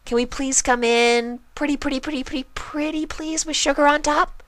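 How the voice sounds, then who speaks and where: A man's voice: very high voice, fairly even intonation, normal speed, a bit bright, no room sound, voice pushed up. A man voicing a bubbly, hyper young female cartoon pony with a gushing, girlish delivery in a fan story reading. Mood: pleading, cheery.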